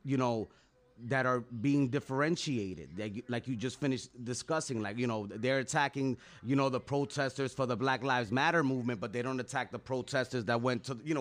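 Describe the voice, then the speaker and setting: A man interviewing a guest, rambling through long questions full of 'you know' and restarts. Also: a little high.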